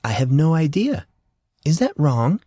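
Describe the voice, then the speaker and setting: A man voicing an excitable, motherly woman guardian who fusses and dotes on the boy in her care, in an audiobook reading. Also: on the high side, unhurried, dry recording, studio quality, voice pushed up. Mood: gentle. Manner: fussy, doting, easily excited.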